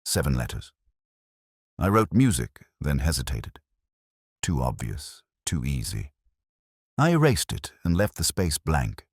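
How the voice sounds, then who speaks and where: A man playing a weary, razor-minded police inspector, narrating a detective audio drama in a measured, reflective, literary way. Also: extremely low pitch, wildly swooping pitch, slightly rough, no room sound, studio quality, exaggerated voice. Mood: brooding, measured.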